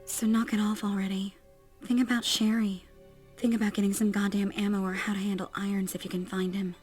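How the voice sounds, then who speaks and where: An actress plays a young woman survivor thinking to herself in a horror audio drama. She is blunt, sweary and sardonic, scolding herself to toughen up. Music plays faintly behind her mid-pitched voice. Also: little pitch movement, a little fast, a little mellow, close to an everyday voice. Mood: urgent self-scolding. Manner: blunt, profane, self-scolding. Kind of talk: dramatized audiobook character thoughts.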